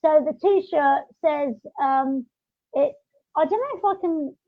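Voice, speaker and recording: Female podcast host, very high voice, chatty and rambling, close dry sound on a lo-fi online call. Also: takes it slowly, no echo. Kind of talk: casual podcast chat. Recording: dull lo-fi online call audio.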